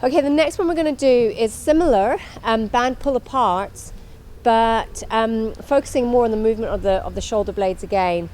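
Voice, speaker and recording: A woman's voice: on the high side, normal speed, a little mellow, slight background noise. A woman instructor talking through an exercise demonstration in an instructional way, repeating her cues as she goes.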